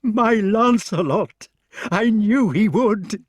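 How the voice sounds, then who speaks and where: Guy doing a fussy old king, squeaky and jumpy, voice swooping way up, dry sound. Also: very animated, takes it slowly, no echo, full character voice. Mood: excited. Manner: high-pitched, breathless, exclaiming. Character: old excitable king, fretful and fond.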